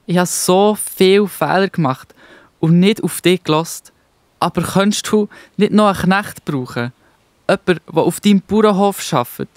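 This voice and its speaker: A man's voice: sits high, normal speed, dry recording, close to an everyday voice. A man playing a humble, remorseful young runaway son, pleading, in a Swiss German children's Bible radio play. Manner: despairing, pleading.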